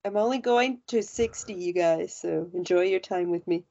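A woman's voice: lowish voice, gravelly, warm tone, dry recording. Woman, forties, podcast co-host, teasing banter, lo-fi sound. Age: 40s. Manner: teasing, quick interjections. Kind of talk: casual group chat. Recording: dull lo-fi sound.

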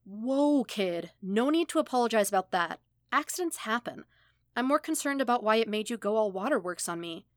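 A woman's voice: a little high, normal speed, no echo, clean studio sound, pitched above the performer's own voice, close to an everyday voice. Woman as a blunt, caring male mentor. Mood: concerned, reassuring. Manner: casual, steady, blunt. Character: gruff but caring male mentor, casual, reassuring billionaire.

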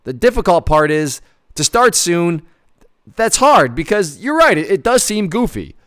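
A man's voice: sits high, sing-song, a little slow, a bit bright. A man in his thirties giving informal, conversational commentary as a host, stressing points emphatically and repeating words. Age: 30s. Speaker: host commenting on personal finance. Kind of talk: informal commentary on an article, partly read aloud.

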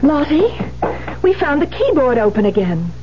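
An actress in a 1970s radio drama playing an anxious, gracious, devoted wife, polite and soothing with slight hesitancy. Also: female voice, high voice, very animated, normal speed, loud music behind the voice. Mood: uneasy. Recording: muffled, very noisy 1970s radio recording.

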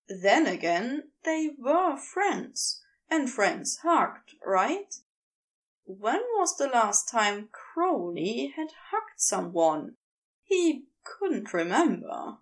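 A woman reading scripted fiction as an audiobook narrator, with an expressive, measured storytelling delivery. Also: a little high.

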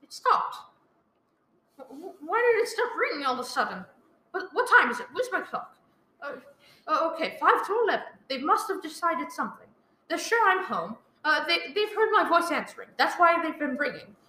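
A woman's voice: sits high, big pitch swings, moderate pace, pushed and bright, exaggerated voice. A woman playing a panicky, demanding invalid wife in a radio play, stammering and pleading. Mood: anxious, fretful. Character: frantic, demanding, self-pitying invalid wife alone at night. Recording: roomy echoing live recording.

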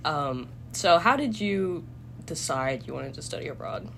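A woman's voice: low voice, lively intonation, a little slow, gravelly, fairly bright tone, a little hiss or hum. A young woman hosting a casual interview, laughing often and teasing her guest. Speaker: host.

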